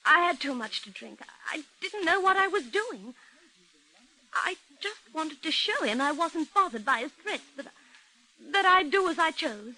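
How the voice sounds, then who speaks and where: A frightened woman from a vintage Australian radio serial, with an Australian accent. Her voice sits high and is piercingly bright. She pleads in a breathless, theatrical way and is quick to defend herself. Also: animated, heavily acted, rough recording. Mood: defensive, pleading. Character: frightened, melodramatic, defensive woman living alone. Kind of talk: old-time radio drama acting.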